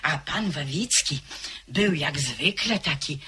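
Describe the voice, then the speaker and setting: A woman's voice: low-pitched, expressive, slow, bright, forceful tone, breathy edge, full character voice. An actress playing a simple, devoted old woman servant in a radio drama, telling stories in a deferential, gossipy way. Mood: reminiscing. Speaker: maid. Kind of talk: vintage radio drama acting.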